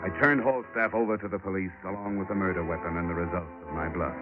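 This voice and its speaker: An actor playing a hard-boiled insurance investigator, narrating in a dry, wry, world-weary way in a 1940s radio drama. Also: male voice, sits low, fairly even intonation, fast, natural voice. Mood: terse. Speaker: narrator and lead. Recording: muffled 1940s radio broadcast with music.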